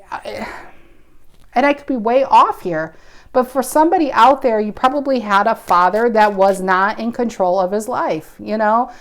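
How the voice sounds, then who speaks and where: A woman in her forties talks through a tarot spread, musing and intuitive. She thinks aloud as she goes, breaking off and restarting her sentences. Her voice sits at an ordinary middle pitch. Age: 40s. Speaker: tarot reader. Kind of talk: casual spoken tarot reading.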